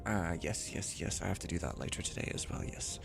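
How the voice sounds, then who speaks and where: A man chats casually on a livestream while working. His voice is fairly low with a gravelly fry, and it moves around freely as he mutters to himself and to viewers. Music plays behind him. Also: a little fast. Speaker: streamer and game modder. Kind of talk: casual livestream work chat. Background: music, computer keyboard typing.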